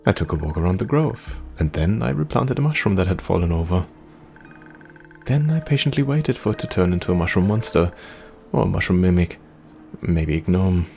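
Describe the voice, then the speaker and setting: An excitable young forest dweller in a fantasy game, voiced by a man, with very lively swoops in pitch. The talk is effusive, rambling and self-deprecating, with an anxious edge, over faint background music. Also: brisk, vocal fry. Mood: eager.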